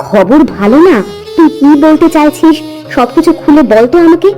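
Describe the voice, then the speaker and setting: A woman playing a worried, protective mistress of a household in an animated moral story, speaking urgently and giving orders. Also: sits high, normal speed. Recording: music behind the voice.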